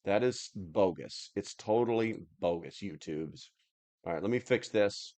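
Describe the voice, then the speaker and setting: A man's voice: on the low side, a little slow, mellow, no room sound. A man hosting a live stream, chatting casually as he reads and answers comments in a rambling, plain-spoken, slightly grumbly way.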